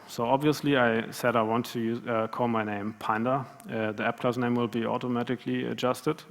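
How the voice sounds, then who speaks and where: A man's voice: mid-range voice, gravelly, a bit bright. A man giving a live coding demo at a conference, talking hesitantly with many fillers and restarts. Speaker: conference speaker. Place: large conference room. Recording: long hall reverb.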